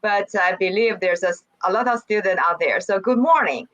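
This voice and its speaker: A woman professor from China with a Chinese accent, introducing herself on a video call in a cheerful, halting way with many fillers and laughs. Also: medium pitch, a bit bright, no room sound, dull audio. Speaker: geography professor. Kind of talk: online workshop introduction.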